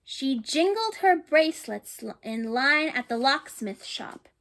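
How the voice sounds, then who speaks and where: A woman's voice: sits high, lively intonation, unhurried, a bit bright. A woman reading a picture book aloud to children. She stops often to chat and to ask her listeners questions. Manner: chatty, asks listeners questions, thinks aloud.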